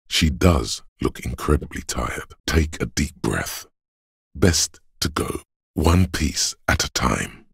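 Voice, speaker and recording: An actor playing a grave, stern inner voice of endurance in a game, narrating bluntly and deliberately in a husky, gravelly tone. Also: male voice, extremely low pitch, no echo, studio quality, exaggerated voice. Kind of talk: scripted second-person game narration.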